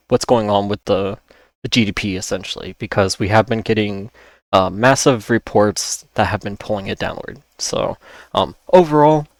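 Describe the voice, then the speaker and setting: A man's voice: fairly low pitch, dry recording. A man hosting an informal market rundown, explaining and repeating key points, with a voice that is wearing out. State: losing his voice, with occasional coughing. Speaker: host of a stock market analysis channel.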